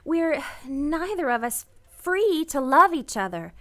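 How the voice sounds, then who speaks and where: A woman's voice: high-pitched, sing-song, slow, pushed and bright, exaggerated voice. An actress playing a wistful, restrained married woman torn by forbidden love in a radio play, speaking earnestly and hesitantly. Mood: anxious pleading. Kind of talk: radio play acting with first-person narration.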